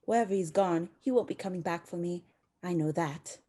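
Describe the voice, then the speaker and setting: A woman's voice: on the low side, fairly quick, dark tone, natural voice. A woman acting in a radio drama as a fragile, forlorn young bride, plaintive and emotional.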